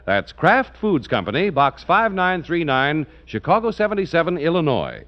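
A male announcer reading a 1940s radio commercial and credits in a crisp, emphatic way. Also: fairly high pitch, wildly swooping pitch, moderate pace. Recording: dull vintage radio broadcast with music.

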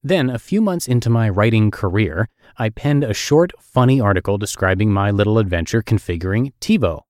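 A man's voice: lowish voice, animated, fairly quick, darkish tone, no echo, studio quality. A middle-aged man with a Russian accent reading his own essay aloud in a wry, self-deprecating storytelling manner. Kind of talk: read-aloud essay narration.

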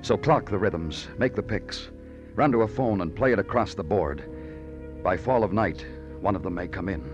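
Actor as deep, hard-boiled radio detective. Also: male voice, natural voice. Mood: brooding, lyrical. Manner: terse, hard-boiled, measured. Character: world-weary, poetic male police detective. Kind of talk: old-time radio detective drama acting and narration. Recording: muffled vintage radio recording with music.

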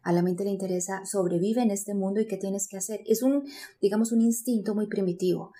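A woman's voice: medium pitch, steady tone, fairly quick, dark tone. A woman with a Colombian accent, speaking as an interview guest in an earnest, reflective and motivational way, explaining things. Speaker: interview guest, spiritual coach.

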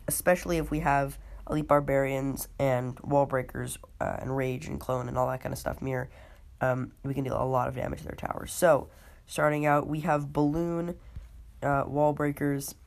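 Brief: woman podcast host under the weather, low voice, laid-back and filler-heavy play-by-play. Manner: laid-back, many ums and uhs, talks through live play. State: sick, voice a bit off. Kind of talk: casual gaming podcast commentary.

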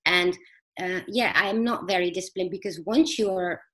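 A middle-aged woman speaking as a podcast guest, telling personal stories conversationally in a halting, earnest, explanatory way. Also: lowish voice, fairly bright tone, low-quality recording.

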